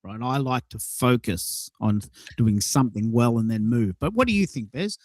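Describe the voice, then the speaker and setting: A man's voice: mid-range voice, lively intonation, normal speed, darkish tone, no room sound, studio quality. A man in his sixties hosting a conversational round-robin talk show, putting questions to guests. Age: 60s. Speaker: show host.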